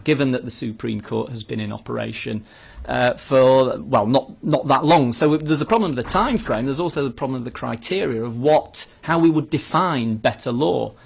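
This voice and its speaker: A man's voice: medium pitch, animated, moderate pace, gravelly, slightly rough. A man giving an informal academic talk as a lecturer, answering questions off the cuff in a tentative, self-interrupting way, thinking aloud. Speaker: law lecturer leading a live question session.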